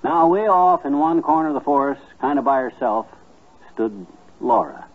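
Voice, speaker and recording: Male actor as folksy kindly storyteller, husky medium-pitched voice, homespun grammar, old radio comedy, narrow vintage recording. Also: expressive, moderate pace, close to an everyday voice. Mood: folksy, warm. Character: folksy, kindly married man telling a child stories. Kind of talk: 1950s radio comedy acting.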